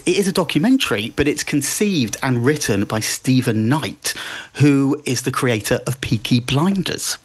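A man who works as a broadcaster and podcaster, appearing as a guest and chatting enthusiastically about cultural picks, with many fillers. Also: mid-range voice. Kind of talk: cultural recommendations chat.

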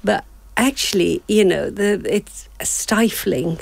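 A woman from Uganda telling lively anecdotes as a panel guest. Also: mid-range voice, slow pace, gravelly, no echo.

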